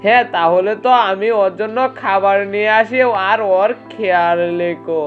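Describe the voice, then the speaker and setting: A woman's voice: medium pitch, moderate pace, narrow, tinny audio, faint music behind, natural voice. A woman voicing a kindly, fat, horned male ghost in a children's cartoon, speaking in a caring, reassuring way. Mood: earnest, caring.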